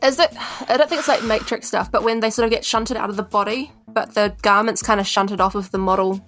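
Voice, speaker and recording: A woman's voice: mid-range voice, steady tone, fast, fairly bright tone, soft background music. A woman with a New Zealand accent chatting casually as a player in a tabletop game.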